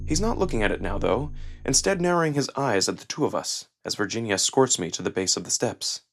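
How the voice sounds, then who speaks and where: A man's voice: fairly low pitch, animated, quick pace, a bit bright. Man narrating dramatic fiction over music. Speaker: narrator and voice performer. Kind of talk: dramatic scripted narration of a visual novel.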